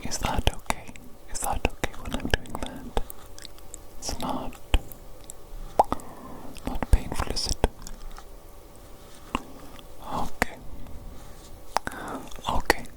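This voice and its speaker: A woman's voice: lightly acted, poor audio. Whispering doting evil doctor played by a woman. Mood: soft, instructing. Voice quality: breathy unvoiced whisper. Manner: slow soothing repetition of short phrases. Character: doting evil doctor examining the creature they created. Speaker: ASMR performer. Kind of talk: ASMR medical roleplay.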